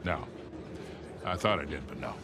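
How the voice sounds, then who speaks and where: An older actor voicing a gruff, worldly male smuggler in a video game, laid-back and chuckling. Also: a bass voice, normal speed, noisy background. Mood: wry. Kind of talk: video game cutscene acting.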